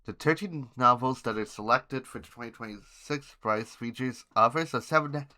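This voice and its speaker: A man hosting an amateur news podcast, reading headlines haltingly with informal asides and stumbles over words and names. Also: mid-range voice, sharp tone.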